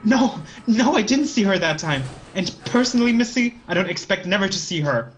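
An actor playing a folksy, easily spooked young hitchhiker in an old-fashioned radio play, slangy and colloquial. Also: male voice, high-pitched, lively intonation, fairly quick, faint music behind. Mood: alarmed, indignant.

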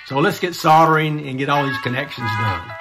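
A man's voice: a little high, a little fast, over loud music. A man in his sixties hosting a casual DIY vlog, explaining things to the camera. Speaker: DIY video host. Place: small indoor home workshop room.

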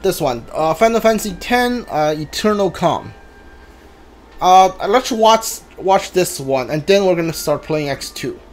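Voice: mid-range voice, lively intonation, a little slow, a little hiss or hum. A video game streamer giving casual, hesitant commentary while thinking aloud.

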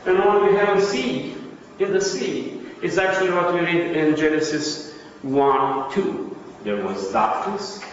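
A man's voice: high voice, measured pace, slight background noise. A man with a Romanian accent giving an academic lecture, explaining step by step and answering his own questions. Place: lecture room. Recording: distant, echoey, dull lecture hall recording.

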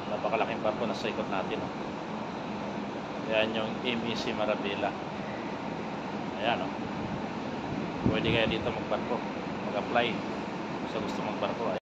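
A man's voice: lowish voice, background noise. A man in his forties, a seafarer vlogging casually, recorded on a phone camera held at arm's length, who keeps addressing viewers as fellow seafarers and points at the sights. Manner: keeps calling viewers "mga kabaro", points things out with "ayan, oh". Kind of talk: casual vlog narration over ship footage.

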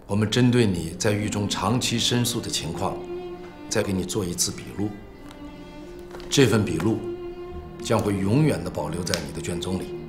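A man playing a middle-aged veteran prosecutor in a film drama, speaking in a measured, formal, grave way. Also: on the low side, close to an everyday voice. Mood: formal, stern. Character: veteran male prosecutor near retirement, solemn and steady. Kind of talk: restrained screen drama acting. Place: small prison interview room. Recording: echoey room with music behind.

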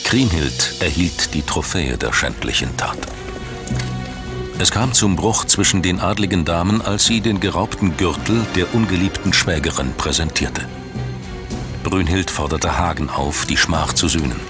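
Male documentary narrator, very deep, measured and dramatic, music bed underneath. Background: background music.

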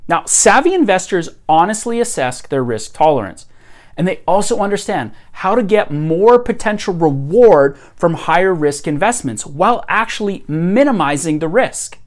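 A man's voice: high voice, animated, normal speed. A man in his thirties hosting a scripted finance explainer, upbeat and emphatic, filmed at home in front of bookshelves. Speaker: host.

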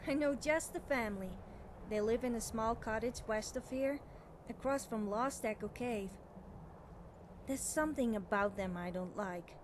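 A woman's voice: on the high side, noisy background. A young woman playing an eager, nervous, cheerfully amoral witch student in video game dialogue, chatty and girlish, often hesitating and backtracking. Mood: eager, conspiratorial.

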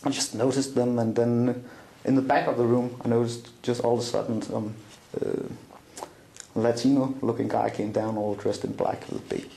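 A man's voice: medium pitch, little pitch movement, moderate pace, gravelly, mellow, roomy sound, low-quality recording. A middle-aged man with a Danish accent recounting a personal story in an interview, with many fillers and restarts. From Denmark. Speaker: interview guest. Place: small room indoors, seated at a table.

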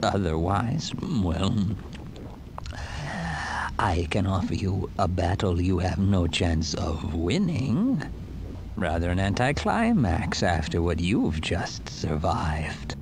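An actor playing a mysterious, cold, condescending man in a video game, with a halting, oddly stressed formal delivery and a gravelly, hissing voice. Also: low-pitched, sing-song, full character voice. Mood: smug, cold. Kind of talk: video game character monologue.

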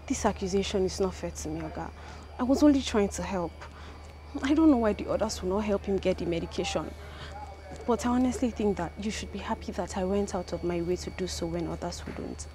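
A woman's voice: medium pitch, brisk, mellow, natural voice. A young woman with a Nigerian accent, acting a clinic receptionist in a film drama, talking defensively and pleading her case. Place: outdoors on a clinic balcony. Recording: outdoor ambience with clear noise.

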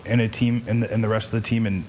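A man's voice: on the low side, flat delivery, fast talker, darkish tone, slight background noise. A male reporter asking a question at a press conference, rephrasing as he goes.

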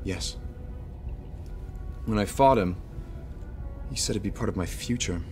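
Man as intense low-voiced young apprentice. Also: a little slow, lightly acted. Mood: resolute. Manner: terse, earnest. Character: intense young male secret apprentice, brooding and determined. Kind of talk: cinematic video game acting. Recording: loud music behind.

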